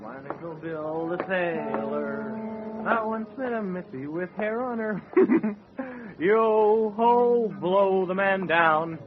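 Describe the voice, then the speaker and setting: A man's voice: high-pitched, takes it slowly, over music. A man playing a boastful, florid seafaring blowhard in a comic radio play. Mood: jaunty, florid. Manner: pompous, florid, wisecracking. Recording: dull radio sound with crowd behind. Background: barroom crowd.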